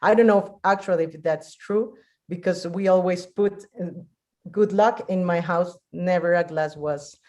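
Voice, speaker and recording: A woman's voice: fairly low pitch, a little mellow. A woman from Colombia with a Colombian Spanish accent, telling personal stories off the cuff and often searching for words in a second language. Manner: halting, searching for words in non-native English. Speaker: guest speaker. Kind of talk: unscripted personal storytelling.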